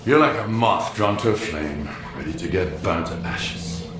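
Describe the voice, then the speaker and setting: An actor playing a stern, world-weary outcast in a video game, terse and accusing. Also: male voice, low voice, lively intonation, moderate pace, reverberant. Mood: bitter, defiant. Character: grim, world-weary male outcast of a forbidden zone. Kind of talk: video game cutscene acting.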